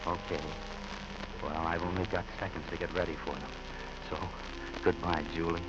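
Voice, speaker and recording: An actor playing a despairing, guilt-ridden killer, halting and confessional, in 1940s radio drama style. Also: male voice, sits low, steady tone, close to an everyday voice. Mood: wistful, resigned. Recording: muffled, noisy vintage radio recording.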